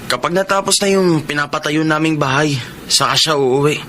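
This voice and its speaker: A genial Filipino man as a flattering grown son in a radio drama. His voice is bright and fairly high, with faint noise behind it. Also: normal speed. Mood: matter-of-fact, joking. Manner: polite, light, good-humoured.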